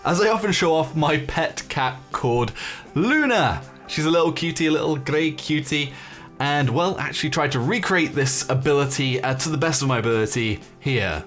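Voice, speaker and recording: A man's voice: on the high side, lively intonation, normal speed, fairly bright tone, soft background music. A man giving casual, chatty commentary as the host of a gaming news video.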